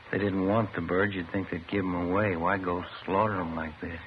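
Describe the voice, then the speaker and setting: An actor playing a plain-spoken, puzzled police detective in a 1950s radio drama, with a folksy, understated delivery. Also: male voice, low-pitched, flat delivery, a little fast, close to an everyday voice. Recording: noisy, dull vintage 1950s radio recording.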